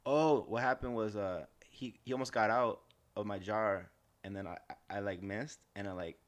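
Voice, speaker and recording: Low-voiced, dryly teasing American man. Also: steady tone, normal speed. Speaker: podcast co-host. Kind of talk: casual banter.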